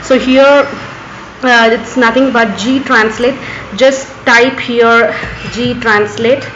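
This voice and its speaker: A woman's voice: a little high, fairly even intonation, slow pace. An echoey, slightly muffled recording with faint noise carries a woman's tutorial narration. She has an Indian accent, with Urdu as her first language, and talks without a script, repeatedly saying "actually" as she explains each step. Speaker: tutorial host. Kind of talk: screen tutorial narration, unscripted.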